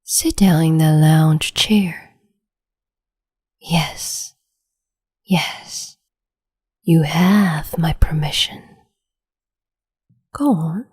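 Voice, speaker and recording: A woman voicing a cruel, capricious empress in a whispered roleplay, slow, mocking and condescending, close to the mic. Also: low voice, darkish tone, exaggerated voice. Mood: silky menace. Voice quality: whispery, breathy.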